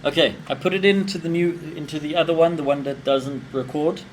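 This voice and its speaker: A young adult man talking casually to himself through a demonstration, thinking aloud in short, halting fragments. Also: on the high side, a little hiss or hum, poor audio. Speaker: amateur vlogger.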